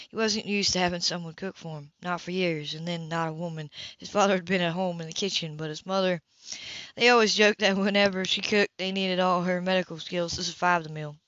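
A woman reading a novel aloud at an unhurried pace, with small restarts. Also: lowish voice, a bit bright, dry recording. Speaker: audiobook reader. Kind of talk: amateur novel narration. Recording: dry, slightly dull home recording.

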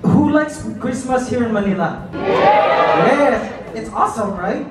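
Brief: playful Canadian male emcee-singer in his 30s, high voice, distant in a booming hall. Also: animated, takes it slowly. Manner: upbeat, chatty, playful with the crowd. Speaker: vlogger and stage performer. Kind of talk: emceeing and singing at a party. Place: large indoor event hall with a crowd. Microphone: handheld mic, filmed from the back of the hall. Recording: echoey hall from far off with loud music.

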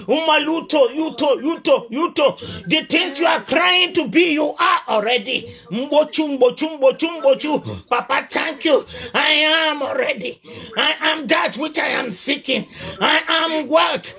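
High-pitched man preaching in fervent prayer. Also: vocal fry. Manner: shouted declarations with chanted tongues.

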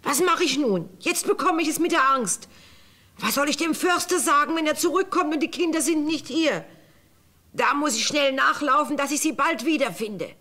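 An actress playing a sinister, witchlike old cook in a fairy-tale radio play, speaking deliberately and conspiratorially. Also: high-pitched, pushed and bright. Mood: anxious, scheming.

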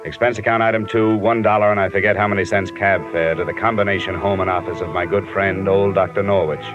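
An American man playing a tough, weary private investigator in a 1950s radio drama, clipped and deadpan. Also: low voice, quick pace, close to an everyday voice. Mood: weary, groggy. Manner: clipped, hard-boiled, deadpan. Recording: muffled vintage radio recording, music behind.

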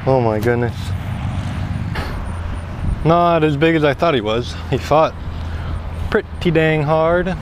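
A young man chats casually through an action camera strapped to his head while fishing a pond outdoors. He talks slowly and loosely, breaking into loud excited outbursts at every catch. The audio is thin and noisy, full of outdoor ambience. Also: a little high. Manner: excitable, exclaims at each catch. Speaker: fishing vlogger. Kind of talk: casual first-person fishing vlog. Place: outdoors at a pond by a large building. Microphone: head-mounted action camera.

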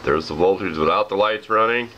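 A man with an American accent and a rough voice, casually explaining a homemade project in a plain, unhurried, folksy way. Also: sits low, a bit bright, highs cut off. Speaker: hobbyist demonstrating a homemade project. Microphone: handheld camera, speaker behind it. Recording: noisy home video with ambient sound.

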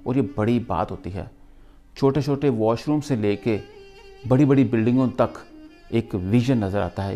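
A middle-aged man with a Pakistani accent gives an earnest, emphatic motivational talk to camera in deliberate, paused phrases. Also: mid-range voice, a little mellow. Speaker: vlogger, motivational speaker.

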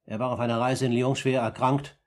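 An actor playing a composed older uncle in a 1950s German radio play, speaking formally and deliberately with firm, accusing emphasis in a husky voice. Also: male voice, mid-range voice, little pitch movement, a little mellow, natural voice. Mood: insistent, grave.

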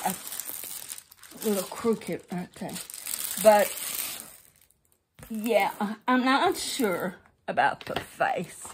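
A woman's voice: mid-range voice, lively intonation, slight background noise, poor audio. A middle-aged woman chatting casually at home while showing a craft kit, rambling and correcting herself as she goes. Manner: slow, rambling, self-correcting. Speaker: crafting vlogger. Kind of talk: casual show-and-tell chat. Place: home, seated indoors. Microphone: phone camera pointed down at her lap.

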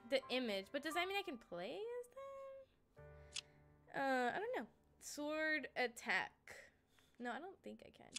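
A young woman whose first language is Spanish, chatting casually and giggling while streaming a game, swearing now and then. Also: a little high. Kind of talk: casual live-stream chat while gaming. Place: gaming room at home, indoors. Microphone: headset. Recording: game music loud under voice. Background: loud game music.

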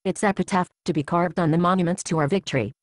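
A woman voicing a grandiose, coldly logical robot conqueror in an animated comedy, speaking in a formal, declamatory way. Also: on the low side, fast talker, no room sound, clean studio sound, lightly acted. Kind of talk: scripted animated comedy dialogue.

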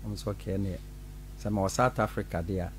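A middle-aged man, a pastor from Ghana, telling his side in an animated radio studio interview. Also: deep voice, fast, a little hiss or hum. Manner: animated, gestures while talking, laughs often. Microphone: studio mic on boom arm.

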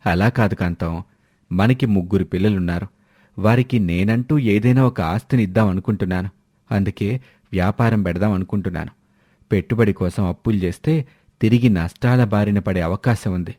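A man's voice: fairly low pitch, fairly quick, mellow, dry recording, clean studio sound, lightly acted. A man voicing an ambitious village husband in a moral story, reasoning and coaxing. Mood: persuasive. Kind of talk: animated moral-story dialogue.

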